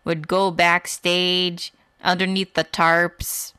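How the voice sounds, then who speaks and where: A woman playing a blunt, serious accuser in improvised comedy, deadpan and pointed. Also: on the low side, slow, smooth, no room sound. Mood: knowing. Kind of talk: improvised comedy character acting.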